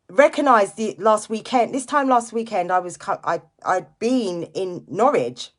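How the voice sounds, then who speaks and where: Woman, late 40s, mid-pitched voice. Informal, emphatic motivational live-streamer who talks straight to viewers and drives points home by repetition. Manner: emphatic, repeats key phrases for effect; chatty, informal direct address to listeners. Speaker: live-stream host, direct seller giving motivational talk.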